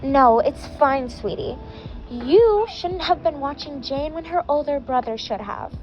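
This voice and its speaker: A woman's voice: high-pitched, expressive, measured pace, lo-fi sound, soft background music. Woman reading as a kindly mother. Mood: gentle. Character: kindly mother, gentle and dismissive.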